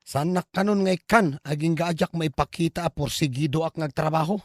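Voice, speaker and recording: A woman playing an irritated, stingy young villager, raspy, with an Ilocano accent in a comedy drama. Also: sits low, steady tone, a little fast, darkish tone, no room sound, clean studio sound.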